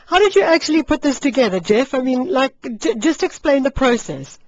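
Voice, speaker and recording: A woman hosting a live radio interview in a chatty, animated, exclaiming manner. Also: high-pitched, brisk, dry recording. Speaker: radio host interviewing a guest. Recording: muffled radio broadcast.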